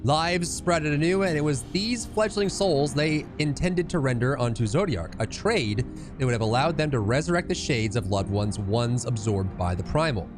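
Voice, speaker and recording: A man's voice: medium pitch, lively intonation, normal speed, over music, natural voice. A man voicing a grave ancient witness in a fantasy game, recounting catastrophe in solemn, archaic storytelling style. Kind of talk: reading fantasy game dialogue aloud.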